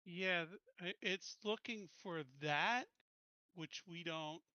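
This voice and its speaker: Higher-pitched, very sharp-voiced man, unhurried. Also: smooth, dry recording, lo-fi sound. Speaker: player in an online tabletop game group. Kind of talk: casual technical explanation.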